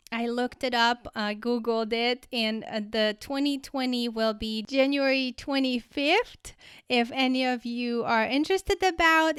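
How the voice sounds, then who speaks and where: A woman's voice: fairly high pitch, fairly bright tone, clean tone, dry recording, clean studio sound. A woman speaking casually as a host, chatting and introducing guests. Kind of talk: casual, conversational hosting.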